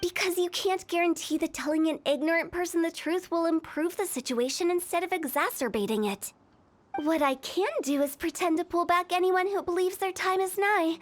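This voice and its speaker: A woman voicing a playful, shrewd young female funeral parlor director in a game, confident and mischievous. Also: very high-pitched. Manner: confident, mischievous, talks down worries. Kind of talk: game voice acting. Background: game music.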